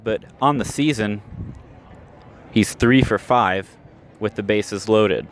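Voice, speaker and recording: A man's voice: mid-range voice, a bit bright. A man with an American accent calling baseball play-by-play live for radio. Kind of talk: live radio sports commentary. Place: outdoor minor league ballpark. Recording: outdoor ballpark, crowd and background noise. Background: stadium crowd and ambient noise.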